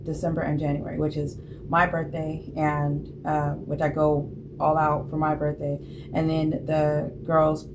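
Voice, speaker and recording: A woman in her late thirties talks casually about budgeting, in a low voice. She rambles, with fillers and self-corrections. The recording carries clear background noise. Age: 30s. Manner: rambling, chatty, many fillers and restarts. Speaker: budgeting vlogger. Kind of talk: casual chat to camera.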